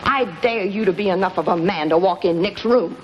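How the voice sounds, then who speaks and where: An actress playing a bitter, manipulative gangster's moll with a melodramatic, hard-boiled delivery in an old crime drama. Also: female voice, mid-range voice, brisk, natural voice. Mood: scornful, taunting. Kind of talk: mid-century film noir crime drama acting. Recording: muffled, hissy old broadcast recording.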